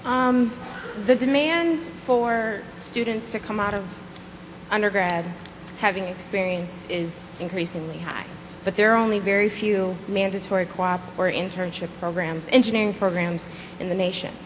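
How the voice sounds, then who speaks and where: A woman's voice: mid-range voice, moderate pace. Young woman asking a long question from the audience, earnest, echoey hall. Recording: echoey hall with faint noise.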